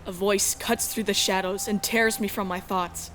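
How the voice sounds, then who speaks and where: A woman's voice: medium pitch, steady tone, moderate pace, a bit bright, a little hiss or hum, lightly acted. A woman acting a young runaway heroine in a space adventure fan dub, anguished and defiant, with dramatic, emotional delivery. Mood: tense, reflective. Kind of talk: dramatic first-person narration of a visual novel. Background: music.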